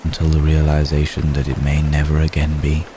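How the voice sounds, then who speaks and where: An age-old vampire gentleman, played by a man, murmurs in an extremely deep voice over clearly audible rain. His delivery is flat and measured, with formal phrasing and long, gentle pauses. He sounds protective and world-weary, in the manner of an intimate romantic ASMR roleplay. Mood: tender, confessional. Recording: steady rain noise behind voice. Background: rain falling.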